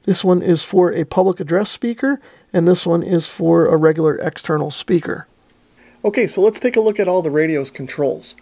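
A man's voice: fairly high pitch, moderate pace, vocal fry, a little mellow. A middle-aged man presenting a product overview and demonstration in a methodical, plain-spoken way. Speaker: radio reviewer and presenter.

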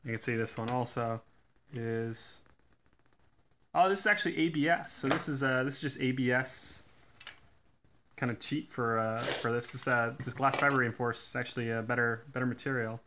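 A man doing a casual hands-on teardown at a workbench, talking in a relaxed way with lots of "uh" fillers. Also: medium pitch, moderate pace, noisy background. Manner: relaxed, many "uh" fillers, technical detail. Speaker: DIY tool reviewer. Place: indoors at a workbench.

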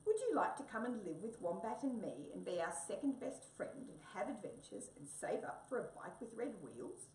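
Woman doing a squeaky little kind mouse. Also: big pitch swings, moderate pace, few pauses, a little mellow, lightly acted. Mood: eager. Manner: squeaky, sweet. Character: small tender-hearted mouse, kind and eager. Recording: roomy echo, distant mic, faint hiss.

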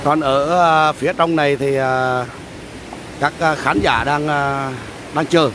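A man casually commentating on a beach race for viewers, talking haltingly with many drawn-out fillers, outdoors by the sea. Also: fairly high pitch, steady tone. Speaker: vlogger filming a beach race. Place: outdoors on a windy beach by the surf. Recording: loud surf and wind noise.

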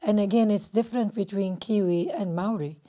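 A middle-aged woman from Jordan with a Jordanian Arabic accent, telling a reflective story in a documentary interview in a living room, pausing with fillers. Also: medium pitch, warm tone.

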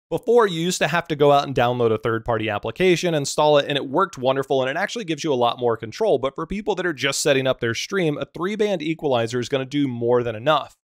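A man with a deep voice giving a relaxed, practical walkthrough of streaming audio settings. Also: no room sound, clean studio sound. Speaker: streaming tutorial host. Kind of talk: screen-recorded software tutorial.

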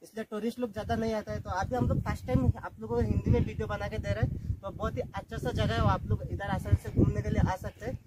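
A young man in his twenties vlogging on a phone on a selfie stick, chatting excitedly to viewers on windy hilltops and a swaying bridge. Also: sits high, quick pace. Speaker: travel vlogger. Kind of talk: casual travel vlog narration. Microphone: phone camera on a selfie stick. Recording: clear wind noise outdoors.

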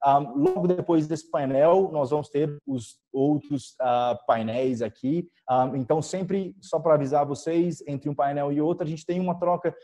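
A man in his thirties with a Brazilian Portuguese accent, hosting an online event informally in a halting, filler-heavy way over a video call from home. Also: on the high side, mellow. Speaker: event host. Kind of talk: introducing an online panel event, informal presenting. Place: indoors in a living room at home. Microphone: video call with wired earphones.

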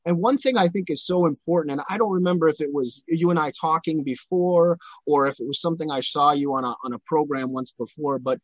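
A male podcast host speaking in a conversational, halting way and often restarting his phrases. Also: fairly high pitch, dry recording. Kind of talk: conversational interview. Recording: dry, dull lo-fi sound.

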